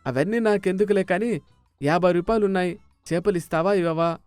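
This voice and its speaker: A man's voice: high-pitched, brisk, a little mellow, clear, resonant tone, no echo, faint music behind, close to an everyday voice. A man acting a mild, practical village husband in a dramatised moral story. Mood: brusque.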